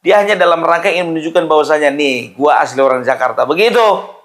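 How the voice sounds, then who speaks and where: A man's voice: on the high side, fast talker, a bit bright. A man giving informal political commentary, scoffing and firing off mocking rhetorical questions.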